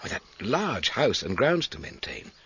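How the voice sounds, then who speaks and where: Radio drama: probing British inspector, husky, lively intonation, formal and politely relentless, muffled sound. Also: male voice, mid-range voice, heavily acted. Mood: pointed. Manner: measured, formal, insistent questioning. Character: methodical, probing, dryly polite male police inspector.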